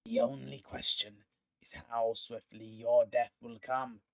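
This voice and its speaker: A man's voice: mid-range voice, flat delivery, slow, creaky. A man voicing a jealous, cruel four-armed male Vedalken hunter who gloats and then begs, in an audiobook reading. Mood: scornful. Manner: scornful, self-pitying, pleading when threatened.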